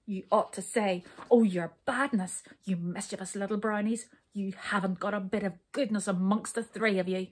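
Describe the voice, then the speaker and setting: A woman's voice: on the high side, expressive, normal speed. A woman voicing an imperious, hot-tempered king in a children's story, stern and blustering. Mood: furious, roaring.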